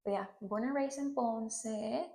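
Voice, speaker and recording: A woman's voice: a little high, unhurried, vocal fry, a little mellow. A woman in her thirties from Puerto Rico, a Spanish speaker with a Puerto Rican accent, teaching a hairstyling tutorial and checking in often with "okay?". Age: 30s. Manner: instructive, checks in with frequent "okay?". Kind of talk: hands-on tutorial demonstration.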